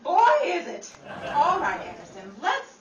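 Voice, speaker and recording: Woman playing a brash, high-pitched 1930s wisecracking dame. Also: huge pitch swings, heavily acted. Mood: star-struck. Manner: sarcastic, melodramatic, fast-quipping. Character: brash, wisecracking, star-struck 1930s New York schemer gal. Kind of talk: 1930s radio comedy acting. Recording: echoey distant stage recording, loud noise, audience.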